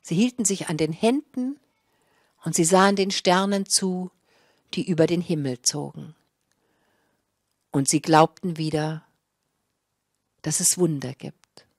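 Low-voiced older woman, a storyteller. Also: breathy edge, no room sound, clean studio sound. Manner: dramatic, savouring delivery with repetitions and drawn-out words. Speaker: fairy-tale storyteller. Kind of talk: live oral storytelling for an audience. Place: small indoor room with curtained stage and small audience. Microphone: handheld microphone held close.

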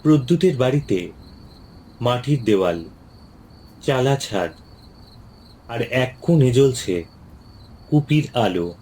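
A man narrates a ghost story slowly and with suspense. He paces each phrase carefully, and faint noise sits under the voice. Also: medium pitch, expressive, mellow, low-quality recording. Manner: slow, suspenseful, measured.

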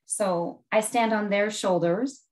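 A woman college president sharing heartfelt, reflective remarks slowly over a video call. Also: mid-range voice, darkish tone. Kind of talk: personal heritage remarks.

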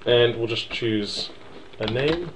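A man hosting a screen-recorded software tutorial, explaining each step casually and offhandedly, with many ums and wry asides. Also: medium pitch, slow pace, a little hiss or hum, low-quality recording. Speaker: tutorial host.

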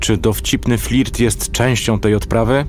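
An actor playing a terse, unflappable special-ops commando in a sci-fi audio drama, curt and deadpan. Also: male voice, mid-range voice, unhurried, slight background noise, natural voice. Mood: dry, impatient.

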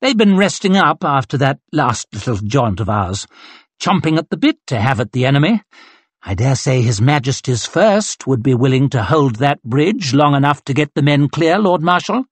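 A man's voice: medium pitch, sing-song, normal speed, husky, no room sound, pitched above the performer's own voice. Man acting a haughty, hot-tempered colonel. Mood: brashly confident. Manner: haughty, hissing and snarling when provoked. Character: arrogant, hot-tempered young cavalry colonel, theatrical and menacing.

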